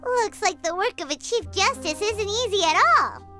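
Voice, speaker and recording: A woman voicing a small, childlike fairy companion in a video game, squeaky, excitable and curious, chatty and exclamatory. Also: moderate pace, soft background music, exaggerated voice. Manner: speaks of self in third person, exclamatory. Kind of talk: video game character dialogue.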